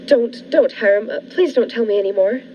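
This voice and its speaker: Woman acting a high, fluttery, hesitant radio-play bride. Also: brisk, close to an everyday voice. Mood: nervous, frightened. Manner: breathy hesitations, stammering, genteel and dramatic. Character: naive, fluttery newlywed bride turning anxious and pleading. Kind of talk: old-fashioned radio thriller acting. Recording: muffled lo-fi radio play, noisy background.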